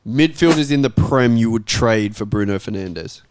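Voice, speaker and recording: Smooth-voiced man hosting casual chat. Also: medium pitch, expressive, moderate pace, darkish tone, no echo, clean studio sound. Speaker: host of a football fan talk show.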